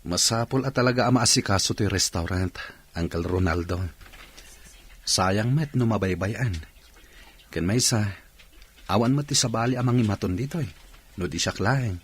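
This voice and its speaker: A man's voice: lowish voice, normal speed, long pauses. A man with an Ilocano Filipino accent playing a polite, hardworking young nephew in a radio drama. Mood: earnest. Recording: clear music bed behind voice.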